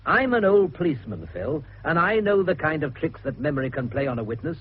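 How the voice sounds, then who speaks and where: A man's voice: a little high, very animated, brisk, slightly rough. Tinny recording of blustering veteran policeman. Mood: grudging, matter-of-fact. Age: older. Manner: blustering, impatient, sceptical. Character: exasperated, blustering veteran male police detective. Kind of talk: British radio drama acting. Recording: tinny narrow radio recording with hiss.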